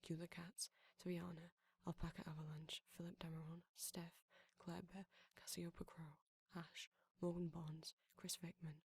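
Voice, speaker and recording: Breathy, whispering woman, low and even. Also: normal speed, few pauses. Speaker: audio roleplay creator reading credits. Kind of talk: soft ASMR outro, whispered reading of names.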